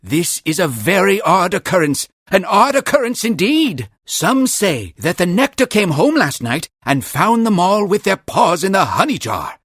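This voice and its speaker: A man voicing an excitable, gossipy little creature in a cartoon game, husky and chatty, full of marveling emphasis. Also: high voice, measured pace, few pauses, no room sound, studio quality, heavily acted. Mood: amazed, curious. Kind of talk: theatrical cartoon game character dialogue.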